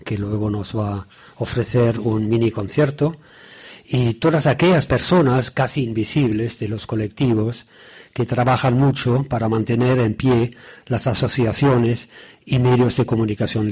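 A man, an author at his book presentation, delivering a prepared speech of thanks in a formal, measured way. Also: medium pitch, a little mellow, husky.

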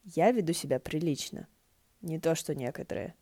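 A woman's voice: sits low, expressive, moderate pace, warm tone, slightly rough, no room sound, studio quality, heavily acted. A woman voicing a grumpy, laconic male deity who mutters tersely and dryly in a storybook reading. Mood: deadpan.

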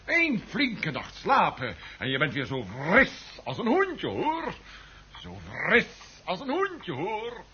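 Flustered husband, high excitable voice. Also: male voice, takes it slowly, exaggerated voice. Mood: blustering. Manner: stammering, blustering, broken repetitions. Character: flustered, confused husband insisting he is perfectly fine. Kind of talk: radio play acting. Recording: muffled, noisy old radio play recording.

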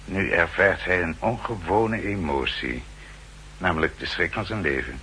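An actor playing a formal, dryly polite aide to a spymaster in a 1960s radio drama, deferential, measured and precise. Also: male voice, low-pitched, pushed and bright. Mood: dry, pointed. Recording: 1960s radio recording, faint hum and noise.

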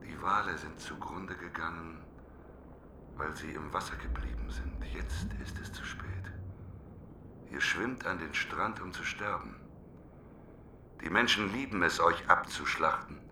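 A man's voice: sits low, a little slow, long pauses, noisy background. An actor playing a desperate, wily man trapped as a reluctant prophet in a radio drama, urgent and pleading. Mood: hushed, bitter. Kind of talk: literary radio drama acting.

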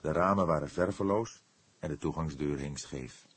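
A man reads a detective novel as a dramatic, measured and suspenseful audiobook narration. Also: extremely low pitch, slightly rough, no room sound.

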